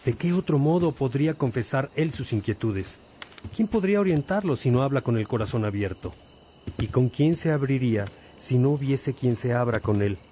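A man plays a fatherly monk in a radio drama, with a mellow, relaxed voice at a medium pitch. He speaks in measured, formal phrases as an earnest mentor. There is some noise and clicking behind him. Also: natural voice. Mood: earnest, reflective.

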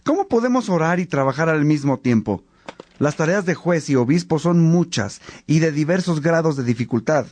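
A man playing a conscientious bishop and judge in a religious radio drama, sincere and deliberate. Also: fairly high pitch, a little mellow. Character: Alipio, conscientious lawyer-bishop, Augustine's devoted friend.

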